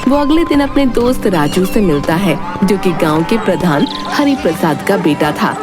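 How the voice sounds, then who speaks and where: A woman narrating a scripted animated story. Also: on the low side, moderate pace, over music.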